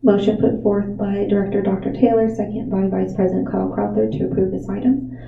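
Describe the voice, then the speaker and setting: A woman serving as board secretary, reading a letter and the roll call in a measured, formal way. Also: mid-range voice, little pitch movement, vocal fry, slight background noise. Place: meeting room. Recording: echoey room, distant muffled mic.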